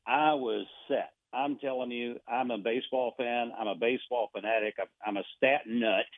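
A man's voice: mid-range voice, moderate pace, no echo. A man chatting casually as a radio guest, rambling with many restarts and fillers. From Argentina. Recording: tinny phone-line sound.

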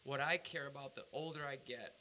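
A man's voice: mid-range voice, brisk, sharp tone, slight background noise, poor audio. A man co-hosting a casual podcast, talking earnestly and emphatically with plenty of swearing. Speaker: podcast co-host.

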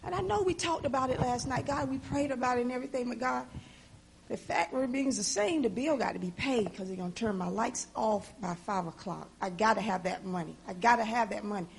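A woman playing a fretful grandmother, gravelly. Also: fairly high pitch, brisk, a little hiss or hum. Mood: worried. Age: older. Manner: frets aloud in prayer, repeating herself. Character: anxious grandmother worried over an unpaid bill. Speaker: grandmother in a skit. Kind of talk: acted drama scene.

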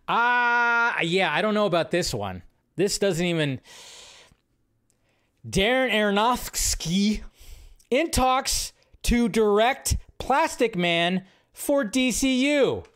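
A man hosting a movie news show, giving casual, rambling fan commentary in an informal, thinking-out-loud way. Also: high-pitched, lively intonation, slow pace, clean tone.

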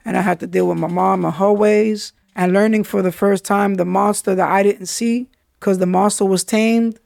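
A man's voice: high-pitched, steady tone, moderate pace, gravelly, darkish tone, no room sound, clean studio sound. A man, hosting a podcast and telling his life story candidly in street slang, often saying "yo" and "you understand?". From New York City. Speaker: podcast host.

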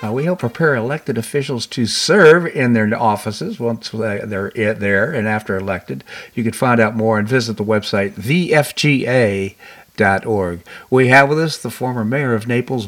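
A man's voice: medium pitch, normal speed. An American man hosting a radio talk show in a casual, chatty style.

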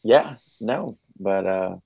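A young man in casual podcast chat, laid-back and slangy, his voice worn and going hoarse. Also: sits low, expressive, slow, highs cut off, crowd noise. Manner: laid-back, says man and dude a lot. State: losing his voice. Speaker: podcast co-host, PE teacher.

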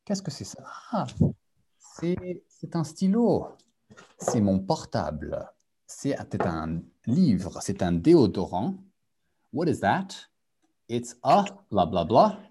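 Unhurried husky male language teacher with a very animated delivery, coaching students over a video call. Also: mid-range voice. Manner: switches between French and English, repeats key phrases for learners. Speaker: French teacher. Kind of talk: live online group lesson.

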